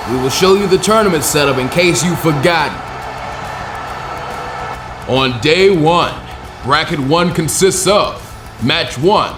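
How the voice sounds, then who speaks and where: A man playing a commanding tournament proctor, announcing formally and emphatically in a showy, drawn-out arena style. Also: on the high side, a bit bright, roomy sound.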